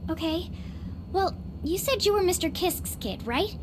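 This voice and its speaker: A woman playing a sweet, excitable, romantic young girl in an English anime game dub, earnest and prone to gushing. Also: very high-pitched, a little slow, noisy background. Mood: curious. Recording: loud music behind the voice.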